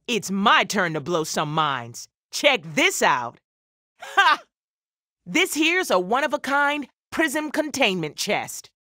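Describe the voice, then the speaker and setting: An actress voicing a big, brawny, jovial gem blacksmith in an animated video game, with a punchy, folksy swagger. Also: female voice, mid-range voice, no room sound, clean studio sound. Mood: jovial, boastful.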